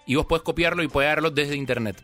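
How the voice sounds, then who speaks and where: A man's voice: a little high, fast talker, pushed and bright, soft background music. A man, a co-host in casual banter, playful and explaining things.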